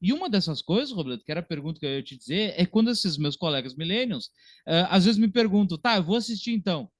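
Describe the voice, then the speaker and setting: A man, co-hosting a casual film discussion in an animated, chatty way. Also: sits high, fast, dry recording. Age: early 30s. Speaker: podcast co-host and film critic.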